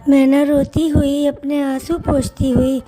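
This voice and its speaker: A woman's voice: sits high, flat delivery, a little slow, warm tone, soft background music. A woman narrating a scripted children's story.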